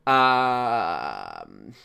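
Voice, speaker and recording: Lively, mocking young man. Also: fairly low pitch, drawn-out words, vocal fry, smooth. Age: 20s. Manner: mocking, rambling, many fillers and restarts. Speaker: commentator reacting to clips. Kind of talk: casual reaction commentary.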